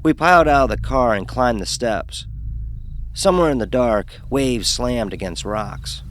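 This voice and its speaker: A man's voice: medium pitch, slight background noise, close to an everyday voice. A man playing a cocky, sarcastic young fraternity pledge who narrates his own horror story. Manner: sarcastic, dismissive. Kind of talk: first-person horror story narration with acted dialogue.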